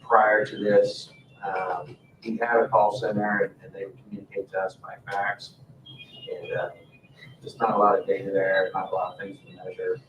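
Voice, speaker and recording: A man presenting agenda items informally at a council meeting, in a halting, matter-of-fact way. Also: deep voice, little pitch movement, faint music behind. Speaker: town staff member presenting agenda items. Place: indoor town council meeting room. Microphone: distant room microphone. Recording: echoey meeting room, distant mic, faint noise.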